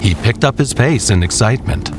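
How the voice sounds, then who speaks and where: A man narrating a scripted story with measured, dramatic, drawn-out phrasing. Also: medium pitch, lively intonation, over loud music.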